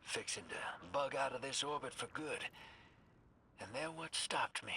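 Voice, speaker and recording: A man's voice: medium pitch, animated, unhurried, vocal fry, sharp tone, a little hiss or hum, exaggerated voice. An actor playing a weary male frontier scavenger with a drawling, folksy, reflective manner. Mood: wistful. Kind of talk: video game voice acting.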